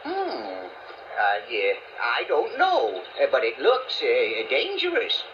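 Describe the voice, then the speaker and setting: A man's voice: fairly high pitch, huge pitch swings, slow, a bit bright, a little hiss or hum, exaggerated voice. A man acting an earnest adventurer in a children's audio story. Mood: hesitant. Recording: roomy, dull toy playback.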